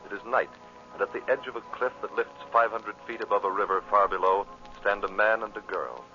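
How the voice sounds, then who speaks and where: Vintage radio narrator, male: solemn, portentous, bright forceful edge, dull old recording. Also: on the low side, fairly quick, soft background music. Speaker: announcer and narrator. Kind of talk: dramatic scripted old-time radio narration.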